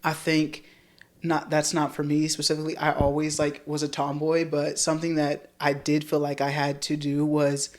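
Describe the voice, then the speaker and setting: An American woman with a deep voice, talking in a casual, reflective and informal way. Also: monotone, normal speed, darkish tone. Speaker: podcast conversation partner.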